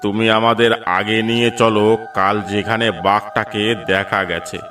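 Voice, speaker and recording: A man's voice: fairly low pitch, level tone, moderate pace, sharp tone, distant mic. A man voicing a commanding village police inspector in a cartoon, giving orders with authority. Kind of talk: cartoon voice acting.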